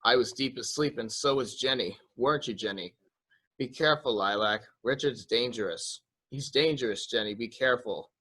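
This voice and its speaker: A man's voice: mid-range voice, normal speed, fairly bright tone, slightly rough, rough recording. A man acting an anxious, fretful member of a mansion household in a murder mystery reading. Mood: irritable, then wary.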